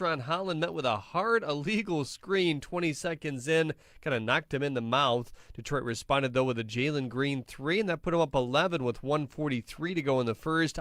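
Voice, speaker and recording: A man's voice: a little high, a bit bright, no room sound, clean studio sound. A man hosting a radio halftime show, recapping the basketball game in sports commentary style.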